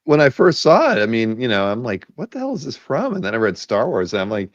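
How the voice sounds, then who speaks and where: A man hosting a casual video-call chat about art, rambling and enthusiastic with lots of fillers, a bit hoarse from a cold. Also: medium pitch, huge pitch swings, fast, dry recording, muffled recording. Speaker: podcast host. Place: home office, video call.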